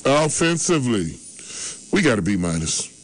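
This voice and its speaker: A man's voice: medium pitch, big pitch swings, normal speed, low-quality recording. A man in his early sixties grading his team's game in casual sports talk, rambling and repeating himself. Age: 60s. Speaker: sports show regular.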